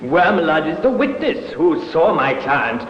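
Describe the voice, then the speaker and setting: An insistent defense lawyer. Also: male voice, on the high side, big pitch swings, a little slow, slight background noise. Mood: argumentative. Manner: rhetorical, insistent. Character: eloquent male defense counsel. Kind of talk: 1950s radio drama acting. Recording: dull old broadcast in an echoey hall.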